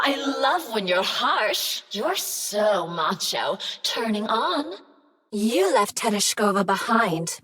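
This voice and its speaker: An actress voicing a clingy, jealous female crafting machine who flirts and purrs pet names in a breathy, seductive way. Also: a little high, fairly bright tone, exaggerated voice. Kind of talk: video game character dialogue.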